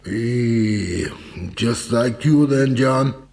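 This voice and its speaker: A man's voice: medium pitch, very slow, creaky, darkish tone, long reverb tail. An actor playing a bitter, rambling down-and-out ex-serviceman in a radio play. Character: bitter, rambling down-and-out ex-serviceman, crooning old songs.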